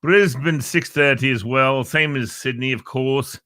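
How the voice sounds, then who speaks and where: A man's voice: mid-range voice, a little slow, bright, forceful tone, no room sound, studio quality. A man hosting a casual show, rambling playfully with jokey asides about times and weather. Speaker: show host. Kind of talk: casual live show chat. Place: Northern Virginia.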